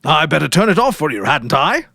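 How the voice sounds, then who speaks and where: A performer doing an obliging, lively police sergeant. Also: medium pitch, a little fast, vocal fry, no room sound, clean studio sound, pitched above the performer's own voice, heavily acted.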